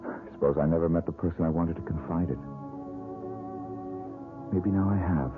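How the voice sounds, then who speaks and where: An actor playing a bitter, tormented struggling painter in an old-time radio drama, with a sardonic, measured and confiding delivery. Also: male voice, low voice, narrow, tinny audio, close to an everyday voice. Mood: haunted, brooding. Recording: band-limited old radio broadcast with music.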